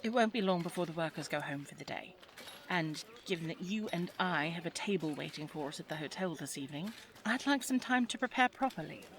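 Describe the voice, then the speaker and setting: A woman's voice: on the low side, lively intonation, a little fast, lightly acted. A woman playing a headstrong, caring young English daughter in a 1920s audio drama, with well-spoken, formal period phrasing. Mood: composed, confiding. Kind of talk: scripted audio drama acting.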